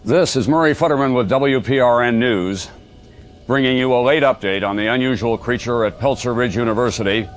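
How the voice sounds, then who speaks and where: A man playing a sober radio news anchor, reading a bulletin in a formal, measured way in an audio drama. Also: medium pitch, close to an everyday voice. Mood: serious, formal.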